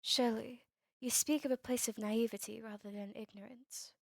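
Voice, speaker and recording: Woman doing a gloomy polite old-world vampire lady, asmr drama, higher voice, barely moves in pitch, super dry sound. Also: fairly even intonation, vocal fry, no room sound. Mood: somber, pessimistic. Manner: formal, old-fashioned, measured phrasing. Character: melancholy, courteous female vampire, turned young over a century ago. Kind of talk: ASMR roleplay audio drama.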